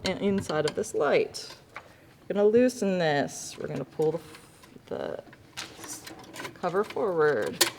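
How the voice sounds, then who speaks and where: Woman, informal instructor, good-humored, self-correcting, hands-on demo, long room reverb. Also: mid-range voice. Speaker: lighting design instructor. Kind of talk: informal hands-on demonstration to students. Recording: echoey reverberant hall, background noise.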